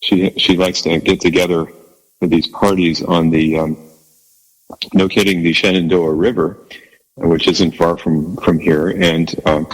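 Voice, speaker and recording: Deep bass-voiced man, roomy reverb. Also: level tone. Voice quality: low bass voice. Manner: halting, warm and modest, many restarts and small laughs. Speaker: guest musician. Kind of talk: casual interview chat.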